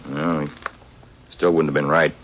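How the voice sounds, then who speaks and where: Deep-voiced resolute cowboy. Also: male voice, little pitch movement, moderate pace. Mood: resolute remorse. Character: remorseful, resolute cowboy standing up to gunman. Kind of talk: 1950s radio western acting. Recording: dull vintage radio recording with faint noise.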